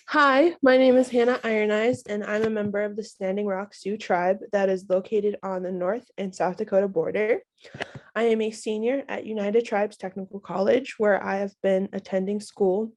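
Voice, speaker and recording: A woman's voice: mid-range voice, fairly even intonation, moderate pace. A young woman getting over a cold, sounding nasal, introducing herself as a student panelist in a friendly, chatty way over a video call.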